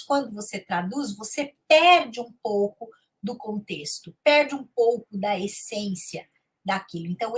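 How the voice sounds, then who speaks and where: Husky-voiced woman teaching English. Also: on the high side. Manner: conversational, checks in with "tá?" often; switches between Portuguese and English. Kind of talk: online lesson lecture.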